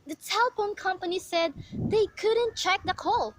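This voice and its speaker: A woman's voice: very high-pitched, moderate pace, background noise. A woman playing an anxious, demanding bedridden wife in an amateur radio play, with a fretful, insistent manner.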